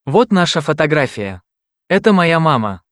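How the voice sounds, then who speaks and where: A friendly young male student, read out by a man in plain, careful, simple sentences for learners. the voice sits fairly high for a man. it is close and dry, with no room sound at all. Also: sing-song, moderate pace, dry recording. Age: young adult. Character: young male student introducing his family. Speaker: voice actor in a language test recording. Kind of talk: slow scripted reading for learners.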